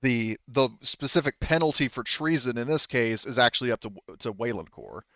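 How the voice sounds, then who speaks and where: A man's voice: mid-range voice, moderate pace, fairly bright tone, no room sound, muffled recording. A man speaking as game master, narrating a tabletop roleplaying game casually and informally, sometimes swearing.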